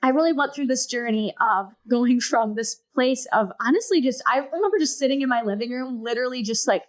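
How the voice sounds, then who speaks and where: High-voiced earnest woman telling her story. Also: fairly quick. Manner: earnest, animated, acts out her own past thoughts. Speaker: podcast guest. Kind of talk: personal storytelling in conversation.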